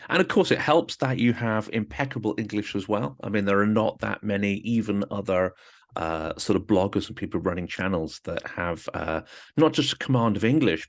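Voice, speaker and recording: A man hosting an interview in a relaxed, chatty way, often saying "you know". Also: on the low side, brisk. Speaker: host. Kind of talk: casual interview chat.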